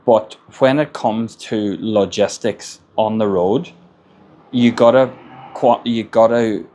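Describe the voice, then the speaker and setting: A man's voice: on the low side, darkish tone, a little hiss or hum. An Irish man, a construction business owner, gives plain-spoken advice in a casual monologue and often repeats words as he gathers his thoughts. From Ireland.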